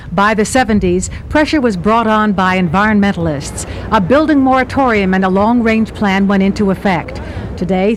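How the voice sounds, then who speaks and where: A woman's voice: mid-range voice, a little hiss or hum. A woman reading a scripted travel narration as the reporter of the segment. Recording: music behind the narration. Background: background music.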